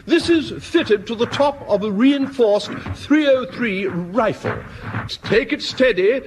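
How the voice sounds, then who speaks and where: High-voiced, stammering English army officer. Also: male voice, animated, slow, music in the background, exaggerated voice. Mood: officious. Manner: hesitant, stammering. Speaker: actor in a comedy. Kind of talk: British sitcom acting.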